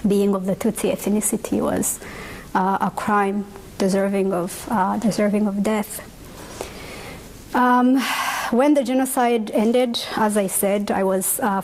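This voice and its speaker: A young woman from Rwanda, a native Kinyarwanda speaker, gives a measured, earnest testimony in English and pauses often with "uh" and "um.". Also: medium pitch, darkish tone, a little hiss or hum, rough recording. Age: young adult. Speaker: genocide survivor speaker. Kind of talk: personal testimony talk.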